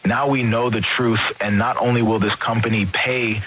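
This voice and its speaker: A man's voice: medium pitch, steady tone, moderate pace, a bit bright, a little hiss or hum, lightly acted, rough recording. An actor playing the stern male leader of a creature-hunting group, who speaks in a grim, declaring way.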